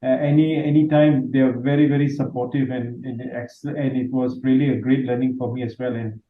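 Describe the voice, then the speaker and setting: A man's voice: mid-range voice, level tone, fairly quick, a little hiss or hum. Malaysian-born guy on a group call, lots of uhs, sounds like a phone line with echo. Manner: hesitant, grateful, many fillers. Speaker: trading group member. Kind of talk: casual group call chat. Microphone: video call. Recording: tinny echoey call line.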